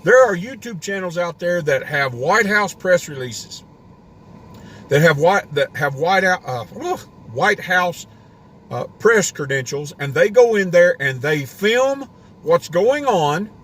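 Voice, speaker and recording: A man's voice: a little high, expressive, unhurried, fairly bright tone. Rambling male homesteader host, voice wearing thin, talking from his car over faint noise. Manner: ranting, rambling with restarts. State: voice getting worn. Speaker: homesteading live stream host. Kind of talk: casual live stream rant. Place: inside a parked car.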